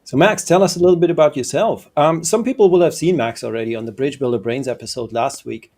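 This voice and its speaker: A man's voice: fairly high pitch, fast, darkish tone. A man hosting a live web stream over a video call, bringing on guests. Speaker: host. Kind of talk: livestream hosting.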